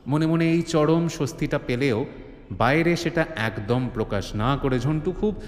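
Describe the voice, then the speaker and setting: A man's voice: fairly high pitch, unhurried. A man narrating a comic mystery audio drama as a dramatic scripted storyteller. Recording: roomy, long studio reverb.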